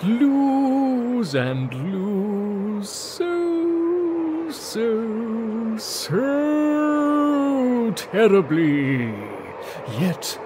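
High, very slow pious preacher. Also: male voice, exaggerated voice. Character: feeble, pious monk-like preacher rallying his brothers. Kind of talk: mock-archaic sermon in comic audio drama. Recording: noisy ambience behind the voice.

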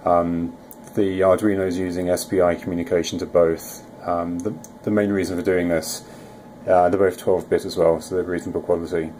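A man's voice: low voice, flat delivery, fairly quick, dark tone, a little hiss or hum. A man informally narrating a demo of an electronics project as a hobbyist presenter.

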